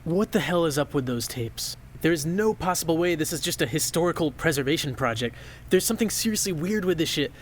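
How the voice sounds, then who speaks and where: A man plays an anxious archivist who sounds defensive and on edge. His voice sits somewhat high. A faint noise hangs in the audio drama recording. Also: animated, brisk, hardly any pauses, natural voice. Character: nervous, agitated male archivist.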